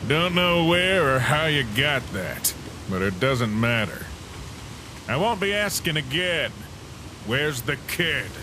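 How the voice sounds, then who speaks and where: Man acting wisecracking skeleton, slow lazy delivery, swinging intonation, cartoon dub. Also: fairly high pitch, sing-song, background noise. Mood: quietly menacing. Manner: lazy, casual drawl. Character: laid-back, wisecracking skeleton big brother. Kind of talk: fan comic dub acting.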